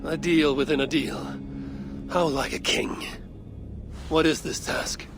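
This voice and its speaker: A stoic Norse raider in a game, a fairly high male voice. The delivery is terse, guarded and measured, with loud music behind it. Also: steady tone. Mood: calm, firm. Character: stoic, blunt Viking raider from the Raven clan. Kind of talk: video game cutscene drama.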